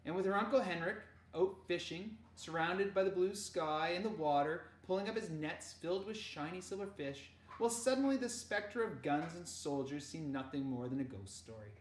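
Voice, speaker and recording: A woman reading a children's novel aloud in an animated storyteller's way, dramatizing the dialogue. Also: fairly low pitch, normal speed, hardly any pauses. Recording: roomy echo.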